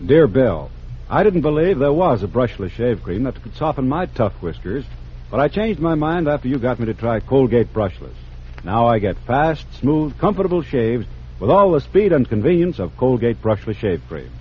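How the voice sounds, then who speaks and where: A man's voice: medium pitch, very animated, moderate pace, lightly acted. A man voicing a plain-spoken baseball manager in a scripted 1940s radio advertising testimonial. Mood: satisfied, matter-of-fact. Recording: dull noisy old radio recording.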